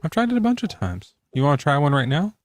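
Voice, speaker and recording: A man's voice: fairly high pitch, huge pitch swings, fast, dry recording. A male podcast host talking casually from his garage in a jokey, loose way, with plenty of swearing. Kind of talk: casual debate chat. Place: home garage.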